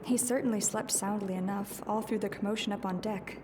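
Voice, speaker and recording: A woman's voice: mid-range voice, steady tone, brisk, noisy background, lightly acted. An actress playing a worried, weary young mother in a fantasy audio drama. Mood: wistful, worried. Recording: loud background ambience.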